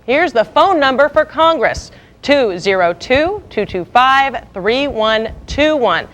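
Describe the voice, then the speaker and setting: High-voiced American woman anchoring the news. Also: expressive, slow pace, a bit bright, a little hiss or hum. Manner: wry, punchy, irreverent delivery. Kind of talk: scripted local TV news narration.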